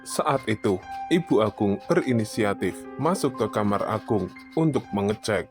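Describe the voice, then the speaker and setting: A man's voice: lowish voice, animated, moderate pace, over music. A man reading scripted narration for a story.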